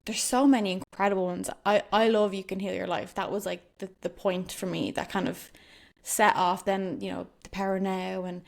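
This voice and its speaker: A woman's voice: mid-range voice, level tone, moderate pace, vocal fry. A woman hosting a podcast, affirming and easygoing, often trailing with "like" and "um". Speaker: podcast host. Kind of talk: casual interview chat.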